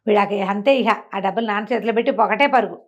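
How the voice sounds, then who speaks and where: A slightly lo-fi recording of a woman. She tells a folk tale in a middle-pitched voice, chatting it out to a listener and slipping into the characters' dialogue as she goes. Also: quick pace. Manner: conversational, acts out dialogue, checks listener follows. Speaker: storyteller. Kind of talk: animated oral storytelling of a moral folk tale to a listener.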